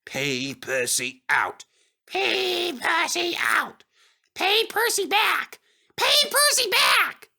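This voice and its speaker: A man's voice: extremely high pitch, huge pitch swings, drawn-out words, vocal fry, sharp tone, pitched above the performer's own voice, full character voice. A man voicing a mischievous, grumbling gang of freight cars in a children's railway story. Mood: plotting.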